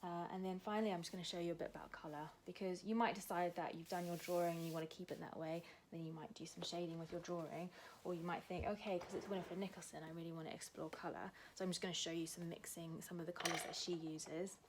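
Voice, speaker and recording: Kiwi woman showing an art lesson, lowish voice, chatty with lots of ums, goes soft and quiet while drawing. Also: level tone, fast, hardly any pauses, noisy background. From New Zealand. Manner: casual, many fillers, talks while drawing, often drops to a soft low voice. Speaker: art tutor. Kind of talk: art demonstration tutorial.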